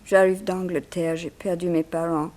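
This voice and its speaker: A woman's voice: lowish voice, flat delivery, moderate pace, a little mellow, lightly acted. An actress playing a frail, grateful young English immigrant woman in a 1960s French radio serial, faint, hesitant and apologetic. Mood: weak, plaintive.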